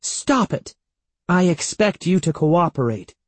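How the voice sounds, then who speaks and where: A man's voice: fairly high pitch, animated, slow pace, mellow, breathy edge, no room sound, pitched above the performer's own voice, exaggerated voice. A man voicing a young boy's mother in a storybook, coaxing and reassuring yet firm. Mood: angry scolding.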